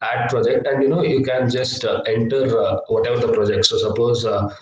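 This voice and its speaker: A young man teaching a live online coding lesson, hesitant with many filler sounds, his voice slightly low from a cough. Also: flat delivery, fairly quick, lo-fi sound. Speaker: workshop instructor. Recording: echoey, distant laptop mic.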